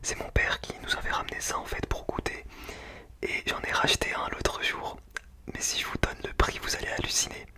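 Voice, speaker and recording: A man speaking in a breathy, unvoiced whisper, chatting casually and in slang in an ASMR tasting style. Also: a little fast. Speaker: ASMR food-tasting video creator.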